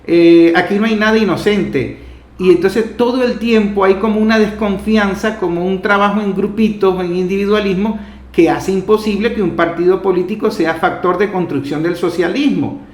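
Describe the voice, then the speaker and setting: A man's voice: high-pitched, moderate pace. A Venezuelan man hosting a political interview, earnestly laying out long, reflective points to his guest. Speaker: interviewer, host. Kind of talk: discursive political interview talk. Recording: echoey room.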